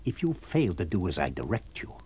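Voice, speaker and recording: A man voicing a menacing, imperious villain in an audiobook, commanding and threatening with quiet emphasis. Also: low-pitched, huge pitch swings, a little fast, a little hiss or hum, pitched below the performer's own voice. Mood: cold threat. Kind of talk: audiobook character voice.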